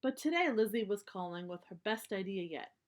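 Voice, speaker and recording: A woman's voice: mid-range voice, animated, a little fast. A woman reading a children's story aloud, explaining things in simple terms for young listeners.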